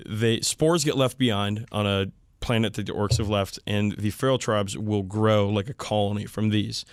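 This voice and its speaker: A man's voice: lowish voice, fairly even intonation, moderate pace, clean tone, no echo. A man hosting a podcast, explaining things in a casual, enthusiastic hobbyist way. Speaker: podcast host.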